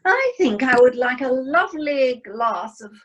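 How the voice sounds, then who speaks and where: A woman's voice: high-pitched, animated, measured pace, a bit bright, lo-fi sound, a little hiss or hum. A woman playing a snobbish, frugal English wife, genteel and disapproving, in an amateur radio comedy. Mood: genteel, fussy.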